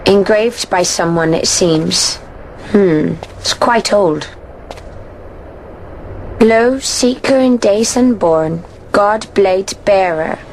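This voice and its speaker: An actress voicing an aloof female sky pirate of a tall rabbit-eared race in a video game cutscene, speaking in a measured, solemn way. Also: mid-range voice, darkish tone, a little hiss or hum, rough recording. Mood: pondering. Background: game score music.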